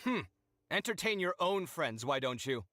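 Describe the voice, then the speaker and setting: A man's voice: a little high, sing-song, bright, forceful tone, dry recording. A man voicing a flustered, easily embarrassed young architect in a video game. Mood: sulky. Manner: fretful, exclaiming, quick to protest. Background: game music.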